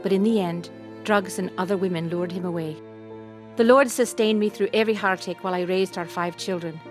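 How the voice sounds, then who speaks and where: A woman from Scotland reading her personal testimony in a plain, steady storytelling manner. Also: fairly low pitch, brisk. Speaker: testimony speaker. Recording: music bed behind the voice.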